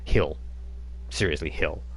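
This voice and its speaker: A deep-voiced man narrating as game master, wandering through his descriptions with loose, offhand asides. A faint hiss runs under the voice. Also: unhurried. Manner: loose, rambling scene-setting with casual asides.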